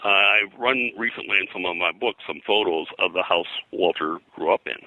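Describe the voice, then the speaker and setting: An older man being interviewed as a historian, telling anecdotes in a halting, rambling way and often clearing his throat. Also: low voice, sharp tone, no echo, poor audio. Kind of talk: anecdotal interview talk about old-time radio and pulps.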